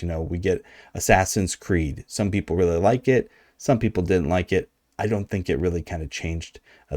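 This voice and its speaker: A man with a low, mellow American voice gives casual video commentary. He sounds conversational and informal with a wry edge, and the recording is close and dry. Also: no room sound. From United States. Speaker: video host.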